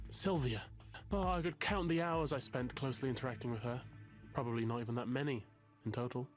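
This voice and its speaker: A man's voice: mid-range voice, close to an everyday voice. An actor in a visual novel playing a self-loathing, melodramatic young academic, wordy and self-mocking in his delivery. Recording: music under the voice.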